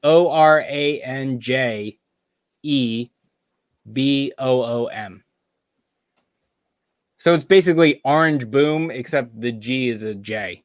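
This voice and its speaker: A relaxed man chatting and joking with friends over beers on a video call. He laughs easily. His audio is dry and close, with no room sound around it. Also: medium pitch, slow, pauses often, no echo. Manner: laid-back, jokey, laughs easily. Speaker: podcast host. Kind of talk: casual chat over beers. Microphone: computer mic without headphones, video call.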